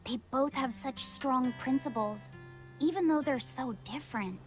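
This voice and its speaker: An actress playing a gentle, concerned and polite young woman in a video game, with music clearly underneath. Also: sits high, a little slow. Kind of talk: English video game dub acting.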